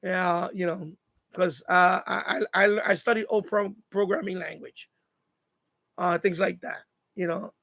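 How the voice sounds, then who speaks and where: A man in his fifties with a high voice that often breaks into gravelly creak. He chats casually like a live stream host, circling back over phrases to drive advice home. The sound is close and dry. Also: steady tone, a little slow, a bit bright, no room sound. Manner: repeats phrases for emphasis, advice-giving. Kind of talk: casual live chat monologue.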